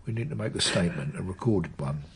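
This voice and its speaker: An older man, an actor playing a weary, brooding veteran police detective with a dry, measured, probing manner in a radio drama. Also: low voice, lively intonation, husky, heavily acted. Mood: formal, gently coaxing. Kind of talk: naturalistic radio drama acting.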